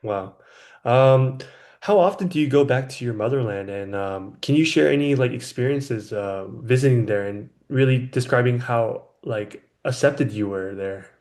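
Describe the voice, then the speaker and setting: Young man from California, podcast host, easygoing chat, medium-pitched voice. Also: normal speed, darkish tone. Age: 20s. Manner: friendly, lots of ums and you knows. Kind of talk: casual chat.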